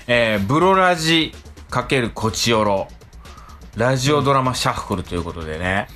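A man's voice: mid-range voice, lively intonation, moderate pace, bright, forceful tone. Casual man hosting over music. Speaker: radio show host. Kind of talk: casual podcast chat.

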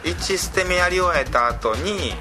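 Animated man chatting over background music. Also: high-pitched, moderate pace. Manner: laughs readily while talking. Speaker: podcast host; stage actor. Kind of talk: casual chat.

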